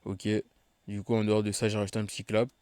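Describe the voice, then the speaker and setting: A man with a slight cold explaining how he built a beat, in a relaxed, chatty way with frequent hesitations. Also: on the low side, fast, loud music behind the voice. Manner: laid-back, chatty, many hesitations. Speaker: beatmaker walking through his own production. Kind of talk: casual tutorial narration.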